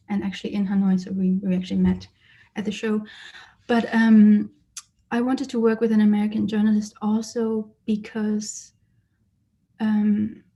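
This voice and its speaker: A woman who grew up in Germany, an artist giving a lecture about her exhibition and speaking thoughtfully with frequent pauses and fillers. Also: medium pitch, warm tone, muffled recording. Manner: hesitant, many fillers and restarts, thoughtful. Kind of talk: lecture presentation. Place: lecture or online presentation.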